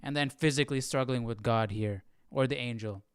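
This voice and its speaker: A man's voice: mid-range voice, brisk, clean tone, dry recording, clean studio sound. A man hosting a Bible study livestream in casual chat, questioning and thinking aloud informally. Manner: informal, questioning, muttering to himself.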